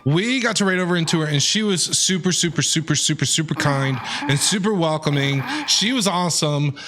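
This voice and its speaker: A man's voice: on the high side, a little fast, noisy background. A man who streams games, giving casual, enthusiastic live reaction commentary and often repeating himself. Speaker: game streamer.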